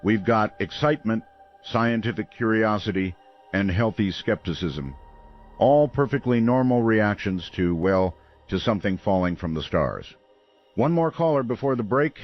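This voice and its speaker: Man acting a low-voiced late-night radio host. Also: animated, dull audio, faint music behind, lightly acted. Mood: reassuring. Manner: measured, folksy, unflappable. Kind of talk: radio drama call-in show.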